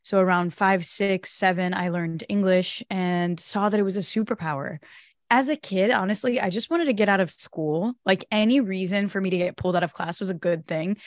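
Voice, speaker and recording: A young adult woman from Brazil, a native Portuguese speaker, telling her story as a podcast guest in a lively, animated way. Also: mid-range voice, no echo, clean studio sound. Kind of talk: casual interview chat. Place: home office with bookshelves. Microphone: studio mic on boom arm.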